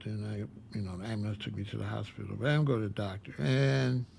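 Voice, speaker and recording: A man in his sixties telling a personal story in a casual, rambling way, repeating himself and filling pauses with "uh". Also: fairly low pitch, steady tone, gravelly, darkish tone, slight background noise. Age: 60s. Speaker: vlogger.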